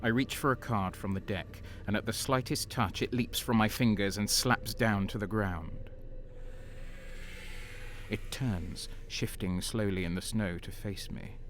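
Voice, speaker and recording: A man playing a brooding, cynical soldier in an audio drama, recounting dark deeds in a halting, sombre, bitter way. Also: fairly low pitch, steady tone, slight background noise. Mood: tense, ominous. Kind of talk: dramatic first-person narration and audio drama acting.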